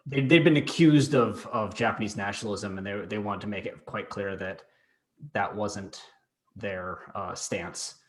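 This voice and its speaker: A man's voice: on the low side, unhurried. A man hosting a podcast interview, asking questions and sharing his own experience in a tentative, hesitant way with fillers.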